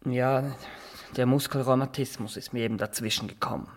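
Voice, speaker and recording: An actor playing a nervous, boastful Swiss small-town barber in a radio drama, rambling, halting and always justifying himself. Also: male voice, medium pitch, steady tone, natural voice. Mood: boastful, self-justifying. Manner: rambling, halting, repeats himself, keeps adding tag questions. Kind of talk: naturalistic radio drama acting.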